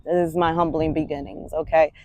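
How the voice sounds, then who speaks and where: A woman's voice: low voice, flat delivery, a little fast, a little mellow, poor audio. A woman, a fitness coach talking candidly in a rambling, casual vlog and swearing freely. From Washington Heights, New York. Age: 30s.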